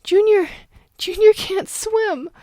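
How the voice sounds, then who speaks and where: Woman voicing a high-pitched, frantic young girl. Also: dark tone, no echo. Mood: frantic, terrified. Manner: breathless, choked, halting. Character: terrified young snake-demon girl, sobbing and frantic.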